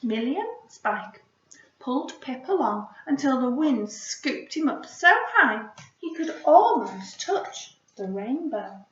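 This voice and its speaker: A woman teacher speaks to young children in a lilting, lively storytelling voice. Her delivery is unhurried and encouraging. The home recording is echoey and slightly dull. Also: sits high. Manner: encouraging, expressive, asks children questions. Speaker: primary school teacher reading a story.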